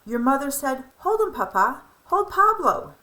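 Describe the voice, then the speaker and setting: Woman as an affectionate, animated old grandfather. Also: sits high, a little slow, voice pushed up, exaggerated voice, rough recording. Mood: excited. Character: affectionate, proud old grandfather telling family memories.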